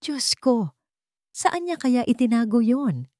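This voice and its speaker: Woman voicing a young wife, sharp, exasperated, raised high voice, dry close sound. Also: big pitch swings, takes it slowly, dry recording. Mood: frustrated. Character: angry young wife, impatient and scheming. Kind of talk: storybook narration with acted dialogue.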